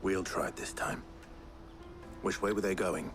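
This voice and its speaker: An actor playing a gruff, terse young swordsman hero in a video game, with a measured, serious delivery. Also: deep voice, fairly even intonation, over music. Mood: determined.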